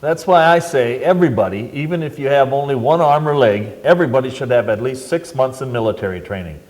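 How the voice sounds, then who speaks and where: A man's voice: medium pitch, expressive, quick pace, a little mellow. An older veteran stating his opinions emphatically. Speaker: veteran panelist. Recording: reverberant community TV studio.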